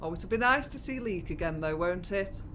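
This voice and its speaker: An actress playing a wistful, caring middle-aged British daughter in a naturalistic audio drama, speaking gently and reflectively. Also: female voice, on the low side, lively intonation, normal speed, a little hiss or hum. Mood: reassuring.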